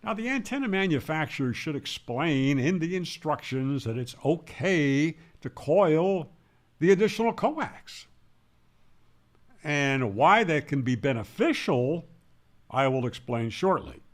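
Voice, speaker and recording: Slow, animated man explaining technical material. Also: on the high side. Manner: didactic, emphatic, poses questions then answers them. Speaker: ham radio instructor and presenter. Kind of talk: explanatory tutorial narration.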